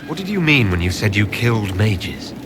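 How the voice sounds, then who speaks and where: An actor playing a composed, supportive male hero in a fantasy video game, with a measured, considerate manner. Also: lowish voice, very animated. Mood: composed, inquiring. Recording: game audio with noisy ambience behind.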